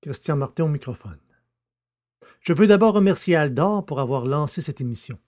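A man's voice: fairly high pitch, lively intonation, moderate pace, a little mellow. A man hosting a podcast and narrating audiobooks in a scripted presenting style.

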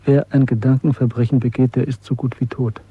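A man acting a weary dissident in a radio play, resigned to doom. His medium-pitched voice is rough-edged, terse and restrained. The recording is dry and a little dull. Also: little pitch movement, fairly quick, natural voice. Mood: resigned, sombre. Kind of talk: German radio drama acting.

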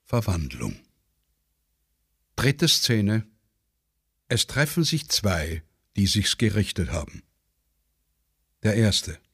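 A man's voice: lowish voice, big pitch swings, takes it slowly, long pauses, fairly bright tone, husky, no room sound, clean studio sound. A man narrating a radio play, reading out stage directions and scene changes as a dramatic reader.

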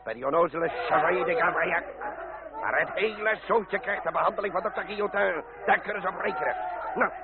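An actor playing a blustering, hot-tempered revolutionary leader in a Dutch radio drama, forceful and declamatory. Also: male voice, sits high, quick pace. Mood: furious. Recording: tinny noisy radio recording, loud crowd.

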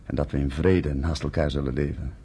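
Very low male voice, husky and dark, solemn village headman, earnest, deliberate, radio drama. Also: level tone, exaggerated voice. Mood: firm, persuading.

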